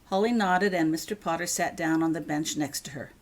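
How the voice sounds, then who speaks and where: A woman's voice: sits low, a little fast. A woman reading scripted audiobook narration of a story as its author. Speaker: author reading their own fan fiction.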